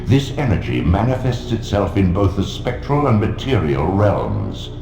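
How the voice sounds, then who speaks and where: An ancient, omniscient spirit instructs in a video game. The low voice crackles with gravel and echoes widely over music. Delivery is grave and formal. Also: male voice, wildly swooping pitch, unhurried, full character voice. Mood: solemn, ominous. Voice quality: deep, gravelly. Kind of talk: scripted game narration. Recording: echoing, music underneath.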